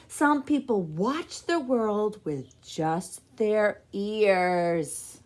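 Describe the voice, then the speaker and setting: A woman's voice: mid-range voice, lively intonation, very slow, a little mellow. A woman voicing a wise, spirited grandmother who chides affectionately in a folksy, emphatic way in a children's storybook. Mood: chiding, cheerful. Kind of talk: children's storybook read-aloud.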